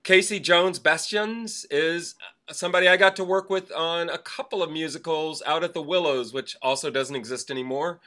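A man co-hosting a theater podcast, reading off birthdays in casual, animated chat with some swearing and trailing fillers. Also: on the high side, normal speed. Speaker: podcast co-host.

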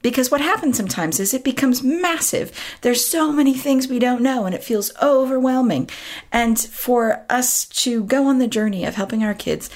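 A woman hosting a podcast with a croaky voice, talking in a chatty, self-interrupting way and laughing at herself. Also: a little high, normal speed. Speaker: podcast host. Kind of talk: casual solo podcast talk.

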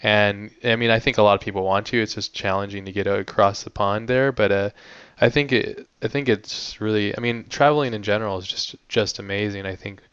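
A man's voice: fairly low pitch, fairly even intonation, brisk, hardly any pauses, a bit bright, clean tone, no room sound. A man from the United States hosting a podcast, chatting in a laid-back, joking way with lots of "like". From United States (San Diego, Hawaii). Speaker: podcast host. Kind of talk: casual interview chat. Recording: dry, lo-fi audio.

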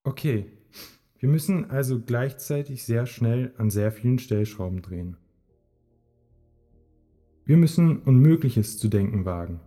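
A man's voice: mid-range voice, animated, measured pace, pauses often, dark tone. A man narrating a scripted audio play, addressing the listener directly. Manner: direct address with questions and instructions. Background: music.

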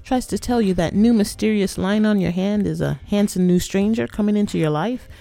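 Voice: mid-range voice, a little fast, gravelly, warm tone, no room sound. A podcast host narrating a scripted educational piece in a playful, conversational way.

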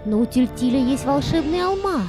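A woman's voice: high-pitched, animated, fairly quick, music in the background. A woman playing a sly, scheming cat with an insinuating, theatrical manner in a children's audio play. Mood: urgent, scheming. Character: sly, scheming female cat, spiteful and coaxing.

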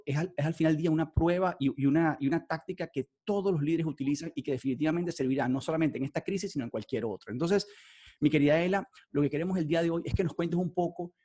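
A man's voice: a little high, mellow, husky. A man hosting a podcast, asking his guest long, effusive questions. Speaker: podcast host. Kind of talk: podcast interview.

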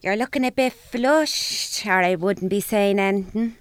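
A woman's voice: mid-range voice, a little slow, clean tone, no echo, studio quality, lightly acted. A woman acting a scornful, crude older mother in an Irish comedy audio drama, brash and mocking with a Dublin accent.

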